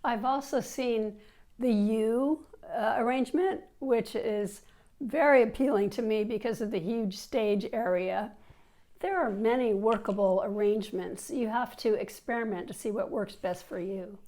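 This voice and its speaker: Woman in her 50s, teacher with a cold, chatty and fairly high, lapel mic. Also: measured pace, warm tone. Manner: chatty, with fillers and restarts. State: has a cold. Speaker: language teacher. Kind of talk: online teacher workshop. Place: indoors, seated in an office chair before a plain wall.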